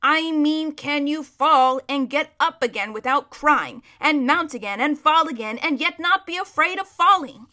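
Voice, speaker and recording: A woman's voice: high-pitched, expressive, a little slow, few pauses, bright, forceful tone, voice pushed up, full character voice. A woman reading a storybook as a proud, bossy but kindly male war horse, with an emphatic, lecturing manner. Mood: scornful. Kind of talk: children's storybook character reading.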